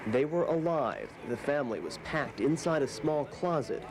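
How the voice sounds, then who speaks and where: A man's voice: fairly high pitch, very animated, normal speed, crowd noise. A man working as a television news reporter, narrating a scripted news package.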